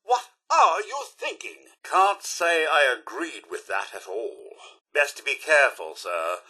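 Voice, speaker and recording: A man's voice: a little high, very animated, a little slow, bright, forceful tone, breathy edge, exaggerated voice. An actor playing a prim, fussy male robot butler for a video game, with a posh British accent and a theatrical, politely sardonic manner. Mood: disapproving. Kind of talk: video game companion voice lines.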